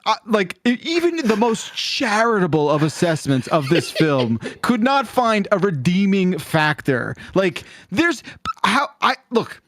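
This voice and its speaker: Male podcast host, casual and emphatic, profane rambling, very lively intonation, dry sound. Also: high-pitched, measured pace, no room sound. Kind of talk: casual chat.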